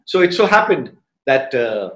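A man in his fifties from North India with an Indian English accent, telling a devotional story in an animated way and acting out the dialogue, on a webcam video call from a room at home. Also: mid-range voice. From Indore, North India. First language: Hindi. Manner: animated storyteller, quick bursts, acts out dialogue. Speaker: alumnus speaker at a devotional gathering. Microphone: laptop webcam on a video call. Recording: roomy echoey home room.

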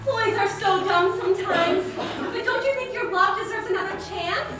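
A woman's voice: extremely high pitch, normal speed, loud music behind the voice. A young woman playing an earnest, melodramatic best-friend sidekick in a stage comedy, emphatic and drawn out. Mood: fervent, pleading. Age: young adult. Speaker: student stage actor. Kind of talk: theatrical stage comedy acting. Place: large theater stage, indoors. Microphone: far camera. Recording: distant, echoey theater with audience laughter.

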